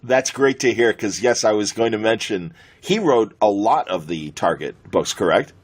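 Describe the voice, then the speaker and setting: A man's voice: on the low side, expressive, normal speed, husky. A middle-aged man with an American accent chatting casually as an interviewer, enthusiastic and given to rambling reminiscence. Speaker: podcast host interviewer.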